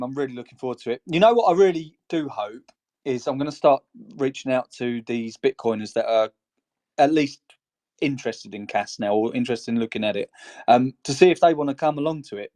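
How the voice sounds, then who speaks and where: A man's voice: mid-range voice, a little mellow. Chatty rambling male podcast co-host. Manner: rambling, chatty, laughs between phrases. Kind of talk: casual podcast chat.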